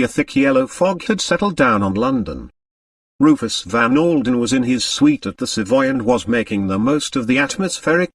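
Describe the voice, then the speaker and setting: A man narrates literary fiction with very animated, varied intonation. The recording is dry, with the voice sitting slightly back from the microphone. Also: medium pitch, creaky, dry recording, distant mic. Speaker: audiobook narrator.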